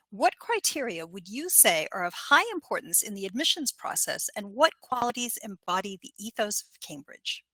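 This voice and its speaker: Woman moderator, bright forceful voice, dry close sound. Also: mid-range voice, no echo, low-quality recording. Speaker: panel moderator. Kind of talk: moderating a panel.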